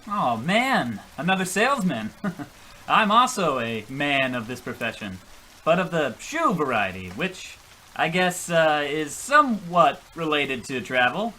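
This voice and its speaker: A man's voice: on the high side, sing-song, a little slow, sharp tone, a little hiss or hum, heavily acted, poor audio. A man playing a hapless, melodramatic shoe salesman in a comic radio play, earnest, flustered and prone to stammering. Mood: awkward, amiable. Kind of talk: comic old-time radio play acting.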